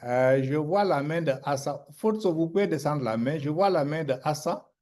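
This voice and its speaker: A man moderating an online meeting, explaining calmly and growing animated when he tells an anecdote. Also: on the high side, moderate pace.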